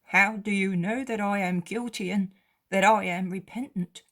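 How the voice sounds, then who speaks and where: A man's voice: high-pitched, fairly even intonation, normal speed, fairly bright tone, pitched above the performer's own voice. A woman voicing a poor, humbled male beggar who pleads and repents. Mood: earnest. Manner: earnest, pleading.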